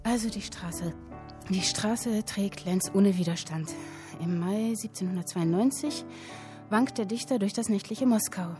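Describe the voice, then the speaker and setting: An actress playing a captive young woman who recites a dark literary tale in a solemn, measured manner for a radio play. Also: mid-range voice, close to an everyday voice. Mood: brooding, solemn. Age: young adult. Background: music and typewriter.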